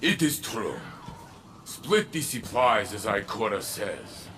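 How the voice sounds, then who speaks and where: An actor playing a weary, proud protector of a city in a video game, grave and deliberate with an indignant edge. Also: male voice, lowish voice, expressive, noisy background. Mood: weary resignation. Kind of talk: dramatic video game dialogue.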